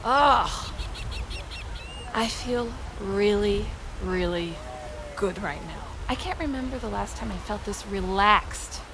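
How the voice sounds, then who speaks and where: A woman's voice: mid-range voice, takes it slowly. A woman acting an easygoing, chatty young woman on a date in naturalistic drama dialogue, casual and candid. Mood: relaxed, content. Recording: clear background ambience noise.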